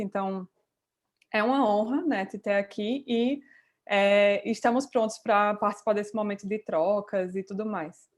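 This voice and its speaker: A woman coordinator thanking a guest in a polite, grateful way, with hesitant fillers. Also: medium pitch. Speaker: course coordinator. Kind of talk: brief welcome on a video call.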